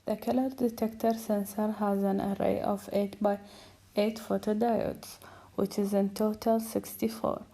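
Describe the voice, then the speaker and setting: A woman presents technical material from a script, as a student would. She speaks slowly, in a soft, mellow tone at a middling pitch. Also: creaky, low-quality recording.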